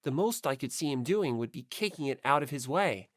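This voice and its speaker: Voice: mid-range voice, expressive, a little fast, no room sound, clean studio sound. An audiobook narrator reading a first-person story from a script.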